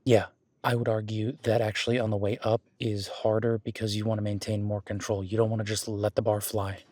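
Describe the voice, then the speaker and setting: A man acts out a friendly werewolf boyfriend in an audio roleplay, speaking in a fairly low voice. He coaches conversationally and patiently, explaining and encouraging as he goes. The sound is very dry, with no room echo. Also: little pitch movement, a little fast, a little mellow, lightly acted. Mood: patient, instructive. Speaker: gym training coach in roleplay.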